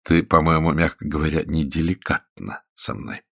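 A man reading an audiobook, voicing a calm, stubborn male journalist with a dry, restrained manner. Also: extremely low pitch, moderate pace, vocal fry, slightly rough, exaggerated voice. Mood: cool, polite. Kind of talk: audiobook character dialogue.

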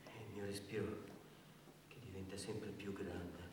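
A man playing a guilt-ridden, defensive bystander in a halting theatrical confession that repeats its phrases. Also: sits low, flat delivery. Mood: tense, haunted. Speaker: stage actor. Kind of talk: theatrical monologue on stage. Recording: very echoey hall, distant mic, faint noise.

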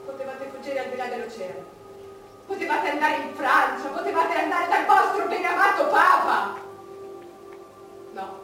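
Imperious queen, high-voiced, echoing stage. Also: expressive. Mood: bitter, menacing. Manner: declamatory, dramatic pauses, swinging from hushed to thundering. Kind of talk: theatrical stage monologue in Italian drama. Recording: very echoey stage, distant mic, music behind.

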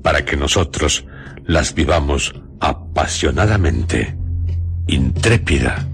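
A man narrates a radio drama. He is solemn and theatrical, unhurried, leaving long pauses between short phrases. Music plays clearly behind him. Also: very deep voice, animated.

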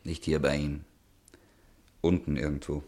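An actor playing a calm, eerie male stranger in a German radio play, terse and threatening in manner. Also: very deep voice, normal speed. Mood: quietly menacing. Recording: studio radio play recording.

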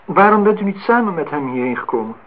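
A man's voice: on the high side, lively intonation, fast talker, narrow, tinny audio. An actor playing a guarded, prickly, self-deprecating man in a naturalistic radio drama, on a noisy old recording. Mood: reluctant, uneasy. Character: reserved, prickly, self-deprecating male amateur painter.

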